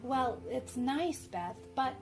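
A woman's voice: sits high, animated, takes it slowly, darkish tone, soft background music, heavily acted. A woman playing a spirited, ambitious, bossy young sister in an old-time radio drama. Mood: eager, dreamy.

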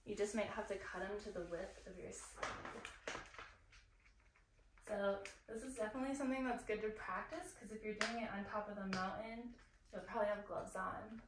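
A young woman talks through her ski gear in a relaxed, friendly how-to, explaining each step as she handles it. Her voice sits at a middle pitch. A faint hiss and the click of equipment sit under her voice. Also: normal speed. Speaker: outdoor gear vlogger. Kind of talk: casual how-to demonstration.

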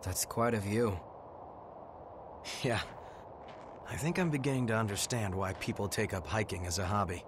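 An actor playing a plain-spoken, level-headed young fighter in a video game cutscene. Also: male voice, fairly low pitch, moderate pace, long pauses, background noise, lightly acted. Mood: easygoing.

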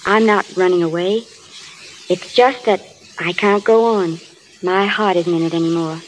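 An actress playing a soft, earnest, self-sacrificing young film star wife in a vintage radio drama. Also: female voice, fairly low pitch, unhurried, slight background noise, lightly acted, low-quality recording. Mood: grieving, weary. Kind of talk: 1930s radio drama acting. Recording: vintage radio broadcast, faint music.

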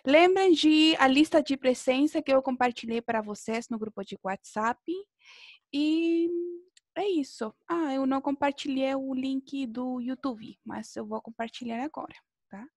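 A woman with a high-pitched voice leads an online language lesson. She encourages her pupils and keeps checking that they follow with little tag questions. The sound is dry, with very little room in it. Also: unhurried, no echo. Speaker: language teacher. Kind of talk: teaching an online beginner Portuguese class. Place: indoor room, online video class.

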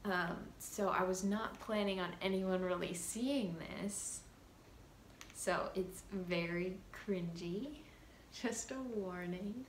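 A woman's voice: mid-range voice, takes it slowly, roomy sound, slight background noise. A young woman in her teens talking casually to a laptop camera in a small bedroom, chatty with lots of fillers. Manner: chatty, giggly, lots of likes and ums. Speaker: vlogger. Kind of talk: casual vlog talk to camera.

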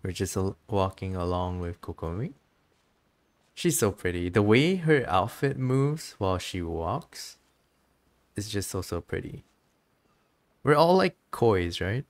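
A man's voice: deep voice, very animated, unhurried, long pauses. A man streaming a game with casual, musing commentary, a little hesitant as he thinks aloud.

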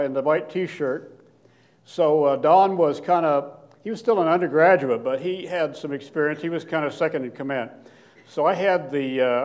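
An older man giving a slide lecture in a hall, with a loud voice and frequent fillers and restarts. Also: fairly high pitch. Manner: loud, plain-spoken, halting with many fillers and restarts. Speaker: guest lecturer, archaeologist. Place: lecture hall with seated audience. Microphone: podium microphone, standing at lectern.

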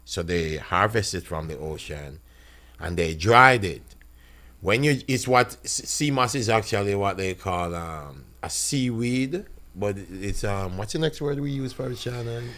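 A man, a chef talking as a podcast guest, animated, his voice jumping high when he gets excited. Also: measured pace. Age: 50s. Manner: animated, big pitch jumps when excited. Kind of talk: casual interview talk. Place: small podcast studio. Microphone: studio boom mic, close.

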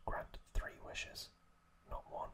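A man's voice: takes it slowly, sharp tone. Whispering man, ASMR host. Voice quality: breathy, unvoiced whisper. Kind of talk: whispered ASMR recap narration. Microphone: close microphone.

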